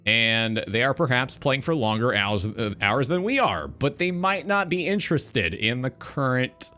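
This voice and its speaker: A man in his twenties delivers a reflective talk show monologue in a bright, forward voice. He sounds earnest and philosophical, often circling back to repeat phrases. Music plays faintly underneath. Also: mid-range voice, lively intonation, a little slow. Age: 20s. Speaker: talk show host, game streamer.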